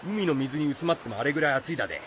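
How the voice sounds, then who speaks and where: A blunt, excitable fisherman from a folktale radio play. His voice rides high and swings widely, with rough exclamations in rural Izu dialect. There is a faint layer of noise behind him. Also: racing. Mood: agitated. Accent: rural Shizuoka, Izu dialect. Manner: rough, exclamatory rustic speech. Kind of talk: radio drama acting of a folktale.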